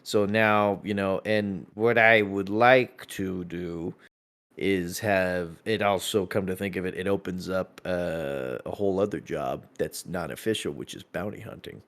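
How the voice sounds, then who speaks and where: A man chatting casually and thinking out loud, halting and repeating words as he works through his ideas. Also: sits low, steady tone.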